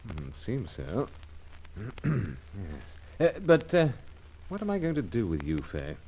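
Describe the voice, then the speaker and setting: Deep-voiced, laconic secret agent. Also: male voice, huge pitch swings, takes it slowly, darkish tone, breathy edge, heavily acted. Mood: wry, amused. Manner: clipped, terse, wry. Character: suave, laconic male British secret agent. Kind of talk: mid-century radio serial acting with narration. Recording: dull, noisy old radio recording.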